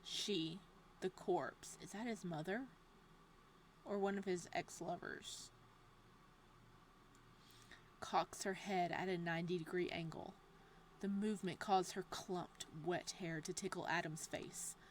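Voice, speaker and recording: A woman reading a horror visual novel's text aloud in a hushed, steady voice. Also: on the low side, a little slow, slight background noise.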